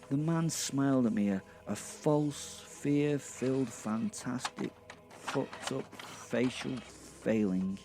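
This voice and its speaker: A man narrating a first-person horror comedy story with a theatrical, relishing manner and dramatic pauses. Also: medium pitch, mellow. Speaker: narrator and host.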